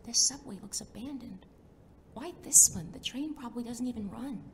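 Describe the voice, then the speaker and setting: Woman as a wary young sidekick, rumbly background. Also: expressive, normal speed, a little hiss or hum, lightly acted. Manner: wary, dry, sometimes whispering. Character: anxious, sarcastic young female reluctant sidekick. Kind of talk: audio drama dialogue and first-person narration.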